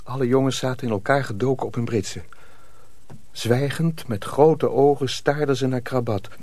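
A man narrating a dark folk tale for a radio drama, measured and solemn. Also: mid-range voice, dry recording, natural voice. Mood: suspenseful. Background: music.

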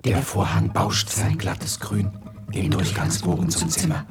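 A man's voice: mid-range voice, very animated, a little slow. A man narrating a literary radio play in scripted, descriptive prose. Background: loud music.

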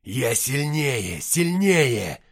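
Man acting a proud, slow-spoken half-angel warrior. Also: low voice, a bit bright, breathy edge, pitched below the performer's own voice, full character voice. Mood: fierce, triumphant. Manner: emphatic, slow, drawn-out declarations. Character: proud half-angel male warrior, taunting, calm in victory.

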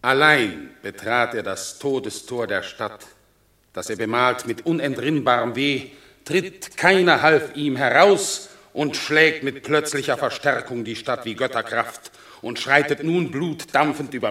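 An actor playing a solemn Roman orator, declaiming verse in a classic radio drama. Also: male voice, medium pitch, pushed and bright, roomy sound. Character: solemn male Roman orator praising a hero. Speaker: Roman consul reporting a battle.

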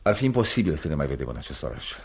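An actor in a radio play playing an ambitious, guarded young man with a husky voice and a deferential, self-interested way of talking. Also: extremely low pitch, sing-song, full character voice. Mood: evasive, earnest. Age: young adult. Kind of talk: radio theatre acting.

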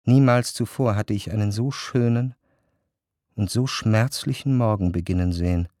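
A male actor as the reflective first-person narrator of a literary radio drama, speaking in a measured way. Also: fairly low pitch, darkish tone, dry recording, studio quality, close to an everyday voice. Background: faint music.

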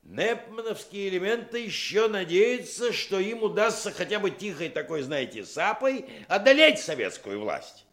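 Earnest, highly animated Soviet official. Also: male voice, normal speed. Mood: grave, resolute. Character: earnest Soviet official in 1923 briefing young recruits. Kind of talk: Soviet radio drama speech.

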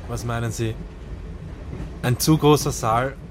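An actor playing a hesitant, doubtful film assistant in a radio drama. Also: male voice, medium pitch, fairly even intonation, unhurried, background noise, natural voice.

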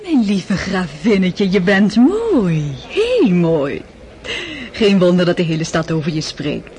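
A worldly society countess, played by an actress, flattering and sly. Her voice swoops lively as she coaxes. Faint hiss sits under the old radio play. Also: fairly low pitch, slow pace, exaggerated voice. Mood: coaxing. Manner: honeyed, flattering.

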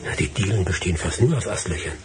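A man's voice: deep voice, expressive, fast, very noisy. An actor playing a brooding, agitated male lawyer in a radio play, muttering to himself and breaking into irritated outbursts. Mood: hushed searching. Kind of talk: radio drama monologue, murmured soliloquy and outbursts.

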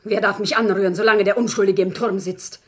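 An actress playing a humble, fervent commoner wife who pleads earnestly in formal diction, in a classic German radio play. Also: female voice, medium pitch, steady tone, brisk, lightly acted.

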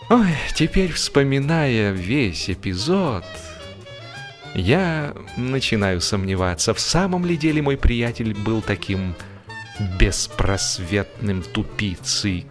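A man narrating a humorous audiobook in an expressive, theatrical way with playful pauses. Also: mid-range voice.

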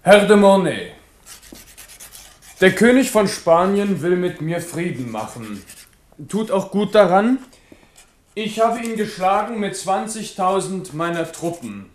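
An actor playing a confident, shrewd French king in a 1970s radio drama, speaking lively and commanding. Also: sits high, takes it slowly, pauses often, echoey room.

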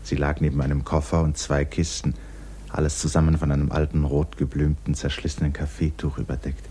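A deep-voiced literary storyteller narrates in measured phrases. It sounds like a 1950s radio drama, with clear noise over the old recording. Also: male voice, monotone, warm tone. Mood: measured, hushed.

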